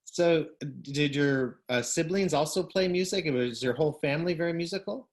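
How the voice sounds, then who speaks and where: A man's voice: fairly high pitch, moderate pace. A man, an orchestra's music director, hosting an online interview and praising his guest. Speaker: host, music director and conductor.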